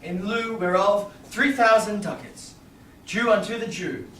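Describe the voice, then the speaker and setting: A man playing an earnest, grateful young gentleman in a Shakespearean stage reading. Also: high-pitched, measured pace. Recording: distant echoey small theatre, background noise.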